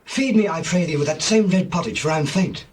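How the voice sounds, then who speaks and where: A man playing a faint, famished hunter in a dramatized scripture, pleading in a weary, husky voice. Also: on the high side, brisk, slight background noise, close to an everyday voice. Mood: weary, desperate.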